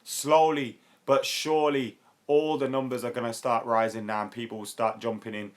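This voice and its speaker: A young man with a hoarse, worn voice, hosting a live football chat stream, chatty and excitable and quick to laugh. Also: medium pitch. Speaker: YouTube football channel host.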